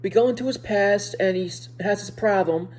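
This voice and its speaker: A woman with a speech impediment giving a casual video review to viewers, speaking haltingly and often repeating and rephrasing her words. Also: medium pitch, a little mellow, husky, rough recording.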